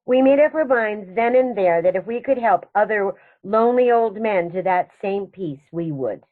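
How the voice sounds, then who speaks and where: A woman's voice: mid-range voice, animated, normal speed, close to an everyday voice. Woman acting prim, lilting elderly aunt, muffled recording. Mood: wistful, gentle. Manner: prim, gently chiding, unruffled. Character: genteel elderly aunt, serenely matter-of-fact and scolding. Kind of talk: stage comedy radio theatre acting.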